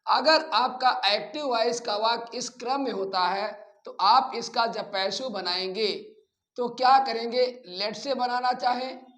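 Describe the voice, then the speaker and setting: A man with an Indian accent teaching grammar, explaining rules in a didactic way and repeating key phrases deliberately. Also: very high voice, a bit bright. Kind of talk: classroom lecture explaining rules bilingually. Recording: roomy, echoing voice pickup.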